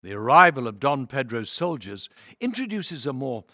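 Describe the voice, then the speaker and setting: A man reads programme notes aloud with a theatrical flourish, his pitch moving freely from phrase to phrase. He phrases things deliberately and leans into key words for emphasis. The recording is close and dry. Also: sing-song, sharp tone, no echo. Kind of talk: expressive read-aloud of programme notes with brief asides.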